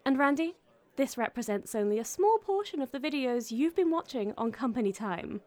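High, chirpy, officious office manager. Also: female voice, expressive, moderate pace, darkish tone. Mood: prim, officious.

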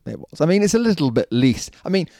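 A man's voice: on the high side, very animated, fast, creaky, warm tone. A man with a New Zealand accent co-hosting a podcast, chatty and rambling, laughing easily. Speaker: podcast co-host. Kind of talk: casual rugby podcast chat.

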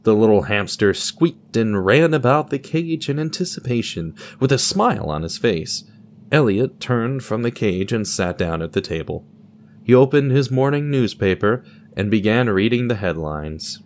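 A man's voice: fairly low pitch, expressive, moderate pace. A man narrating a scripted audio drama in a theatrical, storybook style, with a wry touch.

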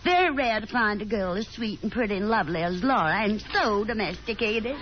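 A woman's voice: medium pitch, animated, brisk, close to an everyday voice. An actress playing a domineering, chattering middle-aged Southern mother in a theatrical 1950s radio drama style. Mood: gushing, charming. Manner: theatrical, gushing, commanding. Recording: muffled, hissy 1950s radio broadcast.

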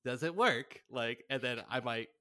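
Male guest, bright punchy tone, dry sound, casual talker who acts out quotes. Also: mid-range voice, normal speed, no echo. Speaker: podcast guest, audio drama writer-director. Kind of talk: casual chat.

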